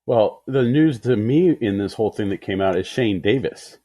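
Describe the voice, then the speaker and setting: Male podcast co-host, raspy medium voice with animated intonation, casual chat. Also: on the low side, normal speed, highs cut off.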